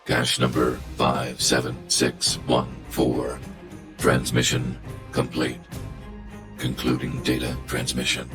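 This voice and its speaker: Very deep, monotone-leaning robot guardian. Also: male voice, slow, over music. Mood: flat, protective. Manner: mechanical, formal, clipped report phrasing. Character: huge stoic robot guardian, male, fiercely protective.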